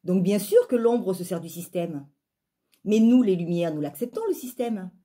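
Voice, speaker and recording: Husky, mellow woman with southern French accent. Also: mid-range voice, normal speed. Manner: emphatic, rhetorical questions and repetition. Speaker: life coach and spiritual vlogger. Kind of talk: opinionated spoken monologue to viewers.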